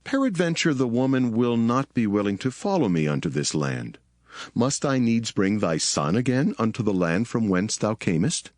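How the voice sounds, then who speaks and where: A man's voice: lowish voice, big pitch swings, moderate pace, a little mellow, no room sound, studio quality. A man playing a humble, devout household servant in a dramatized scripture reading, earnest and formal. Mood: doubtful. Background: music.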